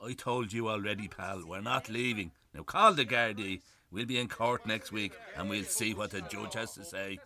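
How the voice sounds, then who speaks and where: A man's voice: lowish voice, moderate pace, few pauses, pushed and bright. An actor playing a retired Irish policeman, a loving but defiant old husband, in a radio play. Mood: outraged, defiant. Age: older.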